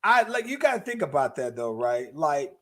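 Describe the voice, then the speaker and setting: Male podcast co-host, high voice, rambling casual talker prone to restarts. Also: very animated, moderate pace, fairly bright tone. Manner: rambling, many restarts and repeated words. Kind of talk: casual chat.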